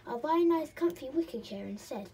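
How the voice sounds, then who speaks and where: A woman reading the part of a brisk, dismissive mother in a children's storybook. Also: high voice, animated, dark tone. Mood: soothing, dismissive.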